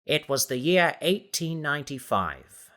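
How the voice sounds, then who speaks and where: Man voicing an unflappable, learned explorer, bright-toned. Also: fairly high pitch, sing-song, a little slow, pitched above the performer's own voice, full character voice. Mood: grave recollection. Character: big, unflappable male explorer, learned and matter-of-fact.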